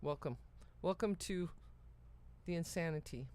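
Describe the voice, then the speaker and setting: A woman's voice: sits low, unhurried, darkish tone. A woman co-hosting a livestream, chatting casually and greeting viewers in an informal way. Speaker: livestream co-host.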